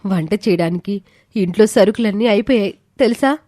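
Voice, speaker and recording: A woman's voice: mid-range voice, normal speed, warm tone, no echo, studio quality, natural voice. Worried, pleading young wife played by a woman. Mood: reproachful.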